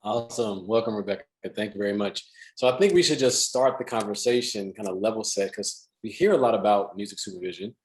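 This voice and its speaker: A man's voice: fairly low pitch, fast talker, poor audio. A man in his thirties hosting a video-call panel from his living room, upbeat and welcoming. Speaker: host. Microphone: webcam on video call.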